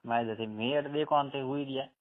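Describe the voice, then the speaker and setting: A man's voice: medium pitch, steady tone, fairly quick. A man, chatting casually and prodding with short questions. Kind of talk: casual phone chat. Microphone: phone line. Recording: narrow, tinny phone call recording.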